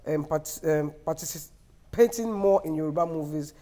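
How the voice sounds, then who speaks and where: A woman's voice: deep voice, normal speed, dark tone. A Nigerian woman co-hosting a talk show, speaking frankly and insistently. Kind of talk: studio chat.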